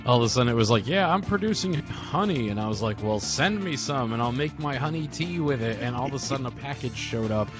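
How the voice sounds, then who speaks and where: A man's voice: medium pitch, animated, quick pace, hardly any pauses. A man speaking casually in a group remembrance, telling rambling stories as a podcast host. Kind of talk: casual reminiscing in a group memorial call. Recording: soft guitar music behind. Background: guitar music.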